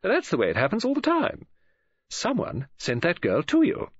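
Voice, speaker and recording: A male narrator plays a fussy, imaginative middle-aged woman in an audiobook. The voice leaps high and swings wildly up and down as it gushes and exclaims. The recording is a little dull. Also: wildly swooping pitch, moderate pace, husky, no room sound, exaggerated voice. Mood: chatty, gushing. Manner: gushing, exclamatory, swooping emphasis.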